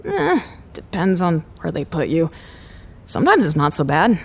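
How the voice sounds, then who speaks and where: A woman's voice: on the low side, wildly swooping pitch, normal speed, full character voice. An actress in an audio drama playing a wry, encouraging, seasoned female facility worker, casual in manner.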